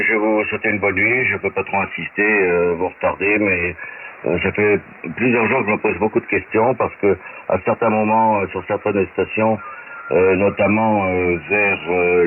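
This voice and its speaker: A man speaking as an amateur radio operator in a casual technical chat, hesitant and thinking aloud, over a shortwave transmission. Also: deep voice. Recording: very noisy narrow-band shortwave radio. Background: loud radio static.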